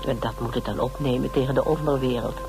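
An actress playing a practical, wry woman detective with a Dutch accent in a naturalistic radio drama, talking in a relaxed, teasing, intimate way. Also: extremely low pitch, quick pace, creaky, over loud music. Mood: musing, amused.

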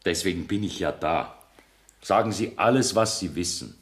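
Radio play casting: a man as a self-justifying high court judge, formal and measured, fairly deep voice. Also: lightly acted. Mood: reasoning. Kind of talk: 1970s German radio play acting.

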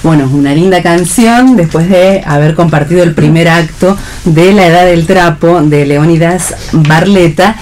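A woman with an Argentine Spanish accent hosting a radio talk, speaking off the cuff and pausing to search for words. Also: lowish voice, a little mellow, a little hiss or hum. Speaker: radio programme host. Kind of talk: unscripted radio conversation.

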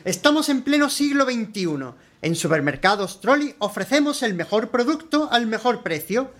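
A man's voice: very high voice, big pitch swings, moderate pace, a bit bright, heavily acted. Man acting a smarmy, gushing supermarket salesman. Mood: gushing salesmanship. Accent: Spanish from Spain. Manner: theatrical, over-polite sales patter. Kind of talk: radio play acting.

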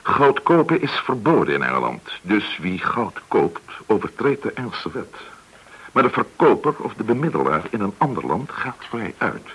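An actor in a radio play as a courteous, probing government agent, explaining things with some hesitation. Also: male voice, on the low side, big pitch swings, normal speed, fairly bright tone, slightly rough, exaggerated voice, poor audio. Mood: grave, explaining. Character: polite, probing male government agent recruiting an informant.

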